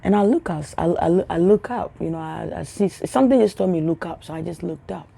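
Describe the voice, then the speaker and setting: Gravelly, mellow-voiced woman talking casually. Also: lowish voice, brisk. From Africa. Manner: rambling, repeats words, drops into hushed tones. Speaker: spiritual healer vlogger. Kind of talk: casual spiritual talk to camera.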